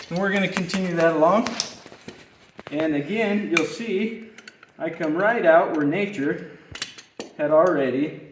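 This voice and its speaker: A man's voice: on the high side, a bit bright, a little hiss or hum. A middle-aged man working as a farrier, patiently explaining a hoof trim while he works bent over a horse's foot in a barn. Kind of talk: hands-on trimming demonstration. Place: roomy barn with concrete floor. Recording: echoey barn.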